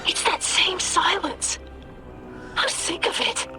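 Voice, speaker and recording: An earnest young female crew member in a game drama. Her high voice is emotional, defiant and haunted, pressing insistently through loud music. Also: lively intonation, slow, heavily acted. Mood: haunted, frustrated. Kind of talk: dramatic video game acting.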